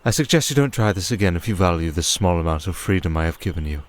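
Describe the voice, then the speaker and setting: Male actor as vampire archduke, very low voice, cold, commanding, measured formal speech, condescending, ASMR audio drama. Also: lively intonation. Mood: annoyed, threatening.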